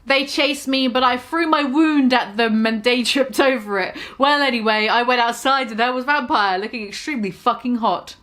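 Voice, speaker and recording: A woman's voice: on the high side, normal speed, hardly any pauses, fairly bright tone. A young woman giving a mock-dramatic reading of a fan story, swearing and breaking off to comment on the text. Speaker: reader.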